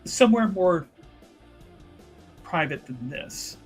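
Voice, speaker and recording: A calm, reserved fantasy woman, played by a man at a game table, in a fairly high, unhurried voice. The delivery is quiet, polite and measured, with faint music underneath. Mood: tentative, quiet.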